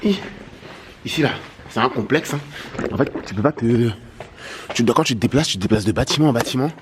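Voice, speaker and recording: A man's voice: medium pitch, fairly quick. A man vlogging at a hotel, interviewing guests in a playful, teasing way with lots of laughing. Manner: teasing, jokey, laughs often. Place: indoors at a busy hotel complex. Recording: crowd noise behind.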